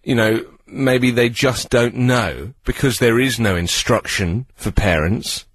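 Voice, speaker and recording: A man with a British accent hosting a radio phone-in, giving earnest conversational advice and posing questions in a measured way. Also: medium pitch, a bit bright, husky, no echo, poor audio. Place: radio studio.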